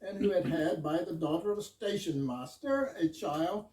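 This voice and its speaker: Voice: medium pitch, moderate pace, a little mellow, close to an everyday voice. A performer in amateur readers' theater playing a bashful, long-winded fire chief who tells absurd stories. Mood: rambling, earnest. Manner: long-winded run-on storytelling. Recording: echoey room, distant mic.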